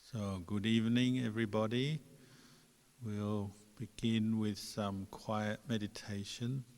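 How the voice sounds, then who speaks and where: Slow, even-voiced man guiding meditation. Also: lowish voice. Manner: calm, unhurried, gentle pauses between phrases. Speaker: Buddhist monk, meditation teacher. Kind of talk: guided meditation instruction. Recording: long hall reverb.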